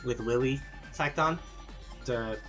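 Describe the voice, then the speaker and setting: Guy on a game stream, bright voice, lots of ums, answering chat, soft music behind. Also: mid-range voice, slow. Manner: rambling, many fillers and restarts. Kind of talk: casual live-stream game advice.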